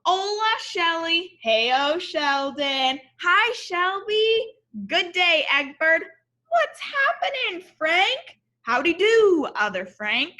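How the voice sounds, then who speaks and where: A woman's voice: extremely high pitch, big pitch swings, slow, bright, forceful tone, pitched above the performer's own voice, heavily acted. A woman voicing a friendly, earnest egg from a children's storybook, with cheery, exaggerated delivery.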